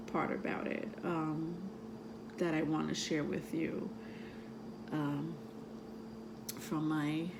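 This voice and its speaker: A woman with a soothing voice, who grew up outside the United States, talking about astrology to her viewers in a meandering way full of ums and soft laughs. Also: low-pitched, creaky, noisy background. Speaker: tarot reader and astrology vlogger. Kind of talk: casual rambling talk to camera.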